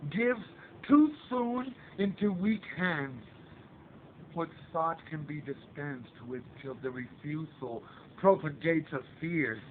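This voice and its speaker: A man reciting a poem in a dramatic, theatrical, declamatory way, in halting phrases that swell in force. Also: on the high side, phone-quality sound, slight background noise.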